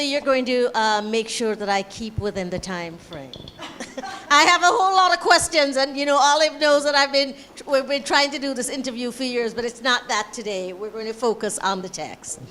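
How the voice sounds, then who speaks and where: A woman, hosting a live book-launch conversation and reading out formal, carefully structured questions. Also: fairly high pitch. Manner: organizing, brisk, then formal questions. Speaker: interviewer. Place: lecture room at a book launch. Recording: hand mic in a hall with steady background noise.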